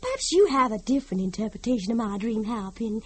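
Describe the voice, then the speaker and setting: A woman's voice: on the high side, big pitch swings, husky, no echo. An actress playing a haunted, fragile older mother in an old-time radio drama, theatrical, pleading and unhinged. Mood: anguished, foreboding. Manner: theatrical, wheedling, drawn-out pleading.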